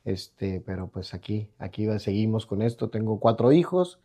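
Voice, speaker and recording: A middle-aged Mexican man with a Mexican Spanish accent speaks as a podcast guest in his own studio. His voice is mellow and dark, and he rambles casually, restarting sentences and tossing in tag questions. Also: fairly low pitch, expressive, moderate pace. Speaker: guest entrepreneur. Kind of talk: casual interview talk.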